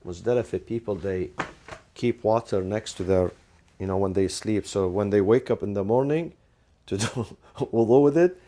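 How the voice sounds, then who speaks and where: A man's voice: fairly low pitch, normal speed, warm tone, a little hiss or hum. A man teaching a class, posing rhetorical questions, answering them himself and repeating key phrases, with Arabic legal terms mixed in. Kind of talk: classroom lecture.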